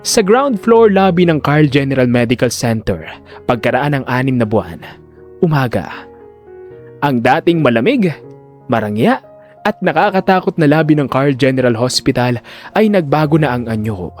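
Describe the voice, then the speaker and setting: A man narrating a scripted audio drama in a deliberate, solemn storytelling manner. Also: medium pitch, animated. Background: background music.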